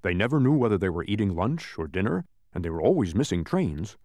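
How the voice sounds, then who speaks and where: A man's voice: sits low, big pitch swings, a little fast, darkish tone, no room sound, voice pushed down. A man voicing an earnest, proud storybook watchdog, sentimental and given to dramatic, lofty speeches. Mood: explaining, earnest. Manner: declamatory, dramatic. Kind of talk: children's storybook character voice.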